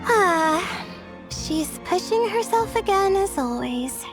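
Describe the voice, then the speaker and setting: Soft young game girl, really high voice, composed and formal, talks slow. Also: expressive, music in the background, heavily acted. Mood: composed, confiding. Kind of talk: video game character voice acting.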